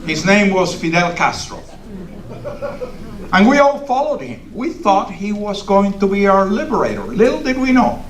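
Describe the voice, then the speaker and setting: A man's voice: high voice, very animated, normal speed. An older man from Cuba with a Cuban Spanish accent, native Spanish speaker, giving an emphatic, preacherly political speech to a meeting in a congregation hall. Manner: emphatic, preacherly, builds with repetition. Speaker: guest speaker. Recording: echoey hall from far off.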